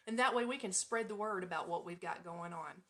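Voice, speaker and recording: A woman with a Southern US accent hosting a live show from home, chatting to listeners in a playful, folksy, expressive way. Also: on the low side, a little fast. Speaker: radio show host. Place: at home in an apartment.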